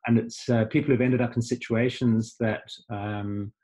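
A middle-aged man from Zimbabwe, a life coach guest on a video call, speaking thoughtfully and haltingly. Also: medium pitch, flat delivery, dark tone. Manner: halting, many fillers and restarts, thoughtful. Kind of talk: casual video call conversation.

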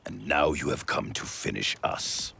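A deep, gravelly warrior monk. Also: male voice, sharp tone, slight background noise, heavily acted. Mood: wary. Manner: grave, measured. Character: grave male warrior monk, wary and stern. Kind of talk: video game cutscene acting. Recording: music score underneath.

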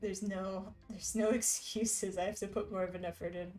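A woman's voice: mid-range voice, steady tone, normal speed, darkish tone, soft background music. A young woman streamer chatting casually and reading viewer messages aloud while she embroiders, with frequent little laughs. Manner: reads chat messages aloud, chatty and giggly. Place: indoor room.

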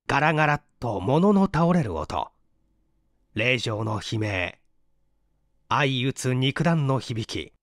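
A man's voice: a little high, dry recording, clean studio sound. A man reading a mystery story as dramatic scripted narration. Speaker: audiobook reader, stage performer.

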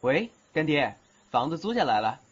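A man's voice: on the high side, sing-song, moderate pace, a little hiss or hum. A man playing a curt, cool young male student who sees ghosts, in an audio drama. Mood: casual. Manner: curt, cool, threatening when annoyed. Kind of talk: Chinese audio drama acting. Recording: dull lo-fi audio, background music.

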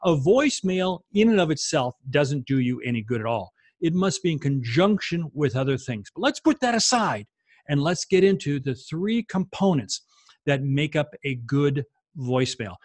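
A man's voice: sits high, dry recording. A man in his fifties giving an instructional talk as a sales coach, emphatic, in short punchy statements, close to a desk microphone. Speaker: sales coach and video host. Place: small home office, indoors.

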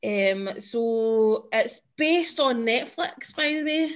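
A woman's voice: fairly high pitch, expressive, slow. Bubbly young Scottish woman host. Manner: bubbly, laughs often, chatty. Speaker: podcast host. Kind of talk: casual chat and quiz hosting. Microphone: video call.

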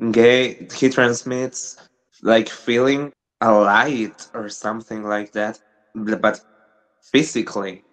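A man's voice: medium pitch, fairly bright tone, rough recording. A young man from Argentina with a Spanish accent, speaking hesitant English on a video call, often restarting as he asks a personal question. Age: young adult. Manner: hesitant, restarts, gestures while talking. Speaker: caller asking a question. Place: small room with brick wall, indoors. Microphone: video call webcam.

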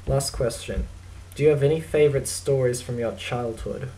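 A young man with an Australian accent, talking casually to his webcam in a dry, deadpan, self-mocking way while answering a list of questions. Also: medium pitch, moderate pace, a little hiss or hum, rough recording. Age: young adult. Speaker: vlogger. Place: small indoor room.

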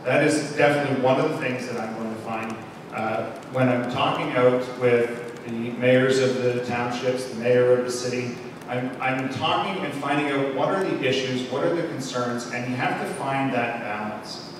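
A man's voice: medium pitch, normal speed. A middle-aged man, a political candidate, giving a confident closing statement into a handheld mic. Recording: echoey hall from far off, background noise.

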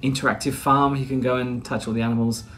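A man's voice: medium pitch, little pitch movement, quick pace, fairly bright tone, slight background noise. A British man in his thirties vlogging casually from a small room, rambling with lots of fillers and restarts. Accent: British English. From Britain. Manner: chatty, rambling, many "uh"s and restarts. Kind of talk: casual vlog chat and voice-over narration. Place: small plain room indoors.

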